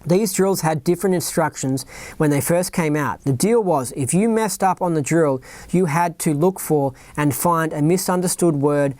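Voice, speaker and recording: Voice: medium pitch, normal speed, a little mellow, dry recording. A podcast host with an Australian accent, reading a written account aloud and breaking off into rambling asides, often asking "right?" and "you know what I mean?".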